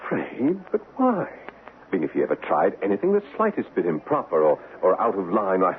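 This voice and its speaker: An earnest, gallant army major in a vintage radio drama. His speech is formal and smitten, in a lowish register. The recording is thin and band-limited, with audible hiss. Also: huge pitch swings, a little fast, phone-quality sound. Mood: earnest, protective. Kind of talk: old-time radio drama acting.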